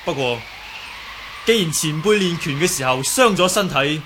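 Radio drama casting: humble young Cantonese martial artist and healer, lightly high-pitched, measured courtesy. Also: male voice, expressive, fairly bright tone, a little hiss or hum. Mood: politely firm. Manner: polite, humble, explanatory. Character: calm, learned young martial artist with healing knowledge.

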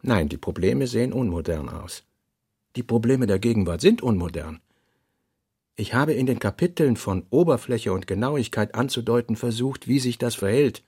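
An actor playing a brooding middle-aged novelist in a literary radio play, deliberate and terse, with a husky voice. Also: male voice, mid-range voice, lively intonation, darkish tone, no room sound, studio quality. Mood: self-questioning. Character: brooding middle-aged male novelist reading out his working notes.

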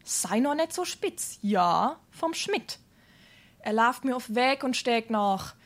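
An actress playing a brisk, loyal young gossip in Darmstadt dialect, expressive, in a stage comedy. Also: female voice, a little high. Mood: brisk, confiding. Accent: Hessian, Darmstadt dialect.

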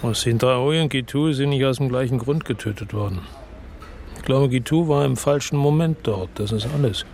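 A hardened investigator in a radio crime play tells his story in slow, somber first person, with a man voicing him. His voice sits at an everyday middle pitch, with faint ambient noise underneath. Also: a little mellow, close to an everyday voice. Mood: earnest. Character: brooding male narrator, a hardened investigator. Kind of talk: radio drama narration.

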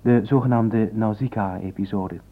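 A man's voice: fairly low pitch, steady tone, measured pace. Male radio announcer, tinny broadcast sound. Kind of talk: scripted radio introduction. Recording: tinny band-limited old radio broadcast.

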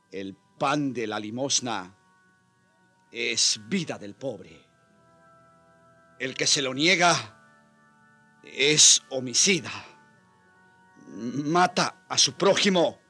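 An actor playing a troubled, irritable Spanish colonial priest in a dramatic radio play, prone to sighs and exclamations. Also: male voice, a little high, pushed and bright, exaggerated voice.

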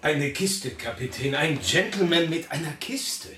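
An actor in a German radio play, playing a polite, hurried gentleman who asks urgent questions. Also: a little high, animated, recorded from a distance, loud music behind the voice. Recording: roomy, off-mic, busy crowd sound bed.

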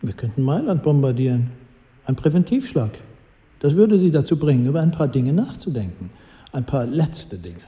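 A man's voice: medium pitch, big pitch swings, moderate pace, lightly acted. An actor playing a courteous, cynical adviser to a king in a radio drama, dry and deferential. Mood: cool, scheming. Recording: dull sound with hall reverb.

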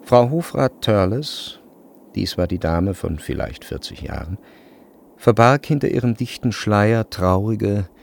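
Deep, creaky, lively male literary narrator. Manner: measured, precise storytelling. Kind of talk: literary scripted narration in a radio drama. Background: faint music.

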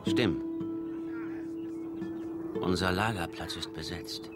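Casting: world-weary scout for a western radio play; terse, commanding, low register, loud music underneath. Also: male voice, slow. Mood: grim, guarded. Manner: terse, clipped delivery. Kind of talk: German radio drama acting. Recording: loud music bed behind dialogue.

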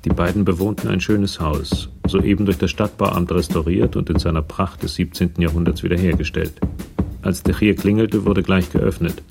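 A man's voice: sits low, gravelly. A man narrating a radio crime drama in a sober, scripted way. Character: sober male narrator of a police crime story.

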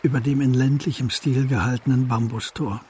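A man's voice: mid-range voice, steady tone, brisk, mellow, slight background noise. A man narrating a radio drama in a scripted literary storytelling style. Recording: music behind the voice. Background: music.

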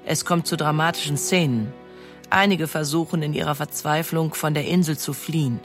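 Measured documentary narrator. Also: mid-range voice, steady tone, soft background music. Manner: measured, explanatory.

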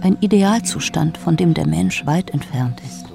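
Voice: medium pitch, animated, moderate pace, creaky, music in the background. An actor playing a sceptical political thinker in a rhetorical, disillusioned scripted monologue. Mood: sceptical, cool.

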